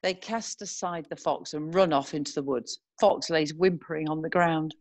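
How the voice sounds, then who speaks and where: Woman w a low voice reading out script stage directions as narrator. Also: moderate pace, darkish tone.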